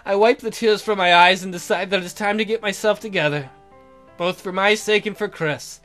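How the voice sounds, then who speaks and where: A man's voice: high voice, moderate pace, a bit bright, faint music behind. A man hosting a gaming video, reading visual novel narration aloud in a theatrical storytelling manner.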